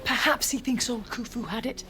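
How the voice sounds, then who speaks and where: An actress playing a grand, worldly dame who collects antiquities and dabbles in spying in a video game, speaking in an arch, genteel, droll way. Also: female voice, a little high, normal speed, bright, forceful tone, faint music behind. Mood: intrigued. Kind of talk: video game cutscene acting.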